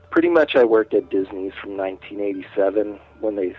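A man's voice: fairly low pitch, lively intonation, normal speed, faint music behind. A man in his late forties, a guest artist, chatting casually and rambling a bit over a phone line. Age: 40s. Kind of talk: casual interview chat.

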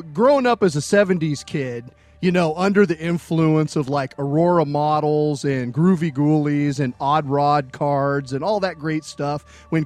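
A man in his forties hosting a podcast, friendly and enthusiastic in casual chat. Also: fairly high pitch. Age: 40s. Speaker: podcast host.

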